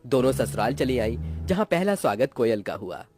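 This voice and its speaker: Male narrator for cartoon moral stories, expressive and melodramatic, very animated intonation. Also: mid-range voice, normal speed, faint music behind. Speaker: story narrator. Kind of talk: scripted dramatic storytelling narration for a cartoon moral tale.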